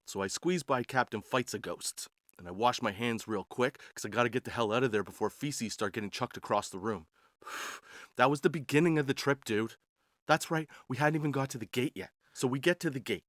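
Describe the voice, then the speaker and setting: A man's voice: medium pitch, fairly quick, fairly bright tone, no room sound. Man in a dry, close recording. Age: 40s. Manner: slangy, crude, sarcastic, addressing listeners as dude. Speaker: podcast host telling travel stories. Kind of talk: casual comedic storytelling.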